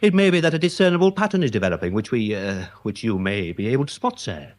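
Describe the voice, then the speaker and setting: An actor playing a deferential, literal-minded police sergeant with an English accent in a British radio drama, stolid and over-formal. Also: male voice, lowish voice, big pitch swings, fast. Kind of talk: British radio comedy-mystery drama acting.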